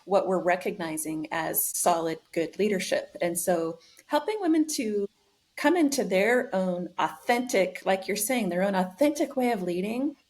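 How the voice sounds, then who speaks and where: A woman speaking as a coach in a conversational interview, explaining in a measured way. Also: medium pitch, expressive, darkish tone. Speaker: leadership coach, podcast guest.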